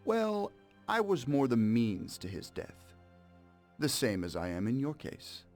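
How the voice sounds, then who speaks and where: A man's voice: medium pitch, wildly swooping pitch, a little slow, a little mellow, soft background music. An actor playing a smug, cold-blooded mobster in a game cutscene, mocking and sardonic as he menaces an old partner. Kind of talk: dramatic video game cutscene acting.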